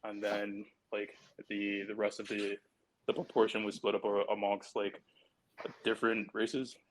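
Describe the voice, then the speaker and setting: A man from Barbados, a track athlete on a panel, talking in a measured way as he searches for words. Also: on the low side, monotone, a little hiss or hum, low-quality recording. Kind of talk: casual panel talk.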